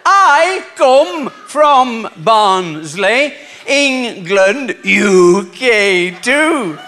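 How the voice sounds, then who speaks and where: A man voicing an Englishman abroad in a stand-up routine, speaking loudly with drawn-out, over-enunciated words so as to be understood. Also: very high-pitched, big pitch swings, full character voice. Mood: painstakingly slow.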